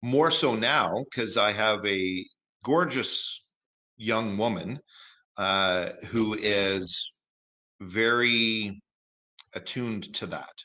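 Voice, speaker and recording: A man in his forties with a big, carrying voice, a former broadcaster, talking thoughtfully in a casual interview with many pauses and restarts. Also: fairly low pitch, sharp tone, poor audio. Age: 40s. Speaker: guest.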